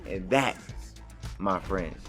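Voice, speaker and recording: Male gaming creator: casual running commentary, informal slang, slightly low pitch, light music underneath. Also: lively intonation, slow pace, fairly bright tone. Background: game music.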